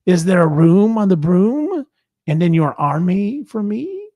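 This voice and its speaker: A croaky, polite storybook serpent, put on by a man, a touch higher than a normal speaking voice. The sound is dry and close. Its lines keep a gentle, asking lilt. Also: sing-song, unhurried, no echo, heavily acted. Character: gruesome but polite male serpent. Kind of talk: storybook read-aloud.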